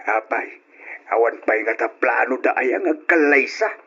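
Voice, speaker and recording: A man's voice: medium pitch, expressive, unhurried, vocal fry, pushed and bright, slightly rough, exaggerated voice. A man with an Ilocano accent playing an eager older father in an old radio drama. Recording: dull lo-fi radio drama recording.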